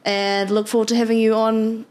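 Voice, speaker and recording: A young woman hosting a podcast interview, chatty and animated in her replies. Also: on the high side, moderate pace. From New Zealand. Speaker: podcast host. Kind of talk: casual interview chat.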